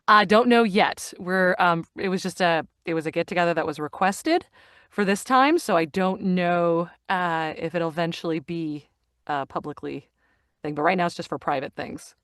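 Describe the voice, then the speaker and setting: A woman's voice: lowish voice, fairly bright tone, smooth, no room sound. Middle-aged woman guest, bubbly and laughing, dry close studio sound. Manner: bubbly, laughs a lot, halting with fillers. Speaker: guest, voice actor and narrator. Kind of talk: casual video-call interview. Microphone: video call from a home recording studio.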